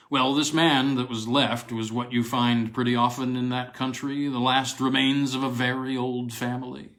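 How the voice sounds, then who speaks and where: A man voicing a chatty English gentleman in a storybook, recounting old country gossip in a halting, rambling, self-correcting way. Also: mid-range voice. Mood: reminiscing. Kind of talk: acted dialogue in a read-aloud ghost story.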